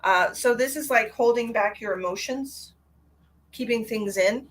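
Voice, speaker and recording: A woman, congested, chatting warmly with viewers during a live tarot reading. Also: a little high, measured pace. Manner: chatty and affectionate, addresses viewers directly. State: stuffy, congested. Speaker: psychic tarot reader.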